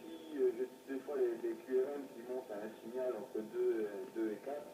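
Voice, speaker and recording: A man chatting casually over a radio link, speaking in a hesitant, halting way. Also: medium pitch, steady tone. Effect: heard through a radio receiver. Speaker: amateur CB radio operator. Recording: noisy distant echoey radio reception.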